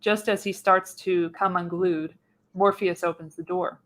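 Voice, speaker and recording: A woman serves as narrator for a radio play, reading scene headings and action from a script. Her voice sits a little lower than most women's. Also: fairly even intonation, unhurried. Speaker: narrator, podcast host. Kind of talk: scripted narration of screenplay stage directions.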